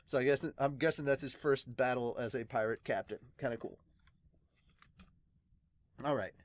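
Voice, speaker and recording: An excitable podcast host chatting casually, in the manner of a vlog, with lots of exclamations. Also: medium pitch, normal speed, dry recording. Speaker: host of a reaction and dubbing podcast.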